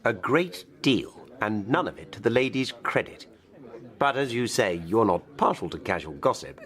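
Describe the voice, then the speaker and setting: A posh-mannered victorian club gossip, very lively intonation, arch and teasing, radio drama. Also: male voice, on the low side, normal speed. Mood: wry. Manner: arch, wry, polished. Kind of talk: period radio drama acting.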